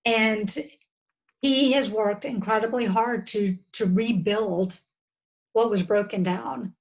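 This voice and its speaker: A woman of about fifty with an American accent, talking earnestly and haltingly as a guest in an interview, often restarting her sentences. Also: mid-range voice, fairly even intonation, lo-fi sound. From southern United States. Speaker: podcast guest. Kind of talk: reflective interview conversation.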